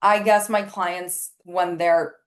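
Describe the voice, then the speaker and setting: A woman's voice: on the low side, steady tone, slow pace. A woman whose first language is Spanish, an astrologer and life coach chatting candidly as a podcast guest, filling her talk with "like". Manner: chatty and candid, many "like" fillers, acts out quoted remarks. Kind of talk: casual interview chat.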